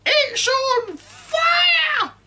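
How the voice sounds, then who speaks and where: A woman voicing a nasty old grandmother who shrieks and cackles in a shrill, screeching voice. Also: lively intonation, extremely slow, heavy background noise, exaggerated voice. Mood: cackling shrieking. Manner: gleeful, mocking.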